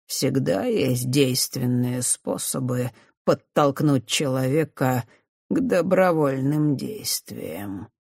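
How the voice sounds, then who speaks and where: Woman voicing a slow, menacing male villain. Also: a bass voice, animated, gravelly, a little mellow, breathy edge, no echo, clean studio sound, heavily acted. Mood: quietly menacing, musing. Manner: slow, drawling, growling when angered. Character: cold menacing male circus director, musing unhurriedly on threats.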